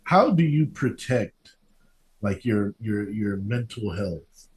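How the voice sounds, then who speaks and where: A man hosting a casual interview podcast, speaking in an affirming, halting way and repeating himself as he goes. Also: lowish voice, expressive, a little mellow, low-quality recording.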